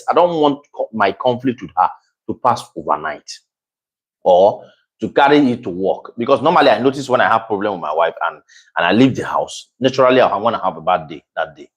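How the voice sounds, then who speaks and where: Loud, animated man. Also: mid-range voice, brisk, rough recording. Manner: loud, animated, addressing viewers. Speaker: husband co-hosting a couple's channel. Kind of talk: casual chat.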